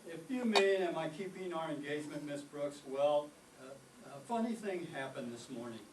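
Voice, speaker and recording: A man's voice: on the high side, lively intonation, normal speed, distant mic, lightly acted. Man playing a bashful, awkward teacher, echoey room. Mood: flustered, evasive. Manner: hesitant, flustered. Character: shy, awkward, earnest male biology teacher. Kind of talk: staged old-time radio comedy acting. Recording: echoey stage recording, off mic, faint noise.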